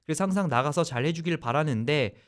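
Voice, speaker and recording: A man hosting a radio sports talk show, explaining at length in a loose, animated conversational way. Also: a little high, fast.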